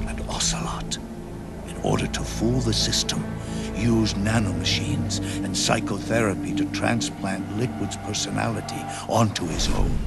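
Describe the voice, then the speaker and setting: An actor playing an aging, world-weary soldier in a video game cutscene, speaking gravely and deliberately with dramatic pauses. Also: male voice. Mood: grave, reflective. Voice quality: deep, gravelly. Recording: loud music under the voice. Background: loud music.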